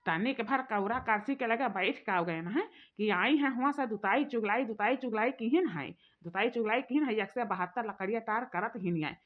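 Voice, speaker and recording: A woman's voice: on the high side, normal speed, hardly any pauses, natural voice. Woman voicing a fiery Awadhi sister-in-law. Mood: complaining. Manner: complaining, cursing, sharp-tongued. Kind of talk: cartoon voice acting. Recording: dull lo-fi recording.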